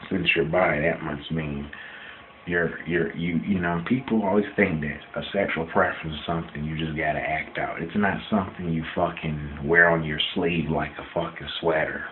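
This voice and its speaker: A man of twenty-nine in a casual personal video rant, speaking bluntly and defiantly and repeating himself for emphasis. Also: extremely low pitch. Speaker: vlogger answering questions about himself. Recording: dull lo-fi sound with background noise.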